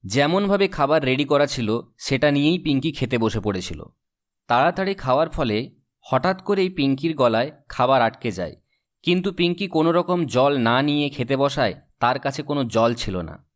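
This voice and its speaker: A man's voice: medium pitch, lively intonation, moderate pace. A man reading scripted storybook narration as the narrator of a cartoon tale.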